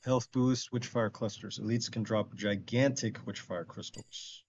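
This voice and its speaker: A man's voice: fairly low pitch, steady tone, measured pace, a little mellow, lo-fi sound, a little hiss or hum. A man with a stuffed-up nose from allergies, casually chatting with his viewers while playing a game and thinking his choices through aloud. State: congested from allergies. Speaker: streamer. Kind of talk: casual game streaming chat.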